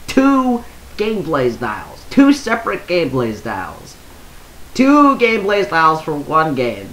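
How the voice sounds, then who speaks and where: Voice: medium pitch, a bit bright, a little hiss or hum. A video game YouTuber reading out news and reacting casually, rambling and hesitant at times, then emphatic.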